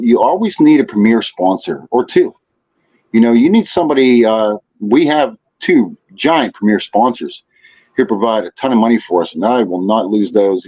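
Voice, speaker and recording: A man's voice: on the low side, darkish tone. Dull lo-fi recording of a rambling man. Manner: rambling, peppered with "you know". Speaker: podcast guest. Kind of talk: casual chat, giving advice. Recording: dull, lo-fi audio.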